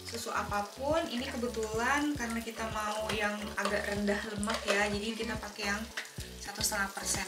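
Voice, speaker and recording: A woman from Makassar with a soft Makassar accent, chatting through each cooking step in a home kitchen. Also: medium pitch, very noisy. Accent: Makassar Indonesian, softened by years in Jakarta. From Makassar, Indonesia. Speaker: cooking vlog host. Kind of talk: casual cooking demonstration. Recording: echoey kitchen, far from the mic, loud music.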